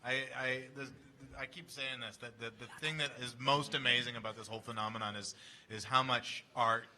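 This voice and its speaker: A man from Canada, a voice actor, answering convention panel questions in a halting, wry way. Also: medium pitch, steady tone, bright, forceful tone.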